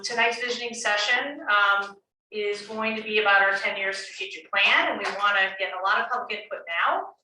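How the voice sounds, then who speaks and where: Very bright-voiced woman opening a town meeting. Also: mid-range voice. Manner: friendly, with frequent ums and uhs. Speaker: town official hosting the meeting. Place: senior center meeting room. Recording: echoey room, distant mic, faint hiss.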